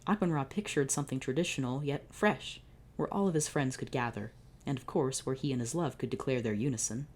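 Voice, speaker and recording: A woman with a low, dark, mellow voice reads a story aloud in a dry comedic way. She breaks off into exasperated, sardonic remarks and keeps a deadpan narration pace. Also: a little fast. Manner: exasperated, sardonic, swearing asides. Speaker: channel host reading fan fiction. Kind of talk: comedic dramatic reading with asides.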